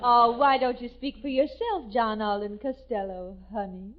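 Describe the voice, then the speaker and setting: Casting: actress as high-voiced, coy, flirty Pilgrim maiden for a 1940s radio comedy, muffled sound. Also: female voice, normal speed, natural voice. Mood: coy, sweet. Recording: muffled old radio broadcast.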